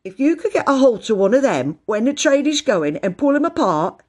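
A woman's voice: a little high, very animated, moderate pace, a little mellow, voice pushed up. A woman voicing a chatty male railway porter in a children's storybook, explaining things in colloquial working-class phrases. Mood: patient, explaining.

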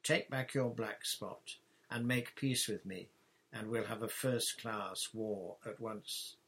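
A man voicing a gruff middle-aged retired pirate, hearty and blustering. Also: lowish voice, steady tone, slow pace, fairly bright tone, exaggerated voice. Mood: contrite, hearty.

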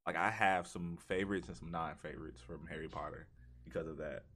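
A man's voice: low-pitched, fairly even intonation, brisk, fairly bright tone. A man co-hosting a podcast, chatting in a joking, informal, slangy way. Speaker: podcast co-host. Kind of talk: casual chat about video game movies.

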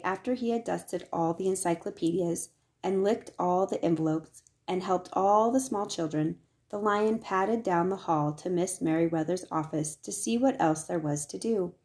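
A woman reading a children's picture book aloud as scripted narration, with expressive delivery. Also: fairly low pitch, a little slow. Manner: expressive, acts out the dialogue. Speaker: storybook reader.

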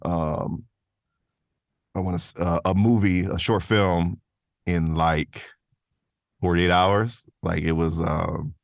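A man's voice: sits low, fairly even intonation, slow, vocal fry, long pauses, no room sound, studio quality. A man speaking as an interview guest, telling stories in a casual, modest, easygoing way. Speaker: interview guest, voice actor and creator. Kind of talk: casual conversational storytelling.

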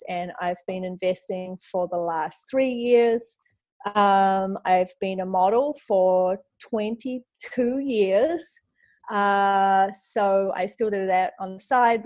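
A woman in her forties with a New Zealand accent, talking as a podcast guest. Her pitch sits at an ordinary middle level. She chats freely, full of fillers, and often acts out her own past thoughts. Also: a little mellow. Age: 40s. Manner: chatty, lots of fillers and quoted self-talk. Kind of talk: casual interview chat.

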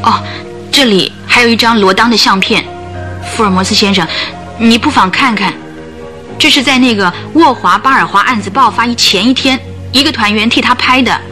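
A woman's voice: on the high side, normal speed, pushed and bright, soft background music. An actress in a radio play voicing a bitter, sorrowful circus trainer's wife, telling her hard life in a plaintive, dramatic way with sighs. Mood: weary, recounting.

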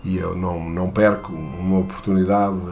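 A man from Portugal with a European Portuguese accent, telling a personal anecdote in a halting way, with many fillers and restarts. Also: low voice, flat delivery, creaky, darkish tone, slight background noise.

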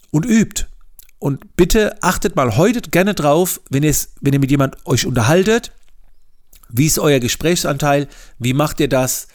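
German podcast guy w/ dialect, mid-pitched, chatty and halting, lots of uhs. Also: a little mellow. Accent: regional German dialect. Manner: chatty, frequent hesitations and repeated words. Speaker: podcast host. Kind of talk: casual solo podcast advice.

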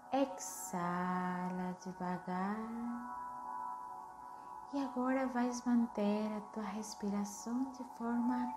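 Soothing lady doing guided meditation, really slow talking, echoey sound w music behind. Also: mid-range voice. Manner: soothing, unhurried, gently instructing.

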